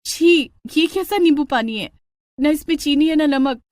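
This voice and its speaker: A woman voicing a young, spirited new daughter-in-law in a cartoon story, expressive, exasperated and insistent. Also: high-pitched, measured pace, dry recording, studio quality, voice pushed up. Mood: indignant.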